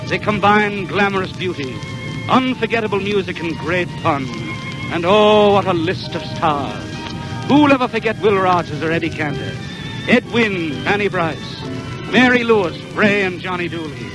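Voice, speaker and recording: A man's voice: high-pitched, lively intonation, moderate pace, over music, low-quality recording. A man announcing a 1930s radio show in a theatrical, declamatory showman style, on an old broadcast recording.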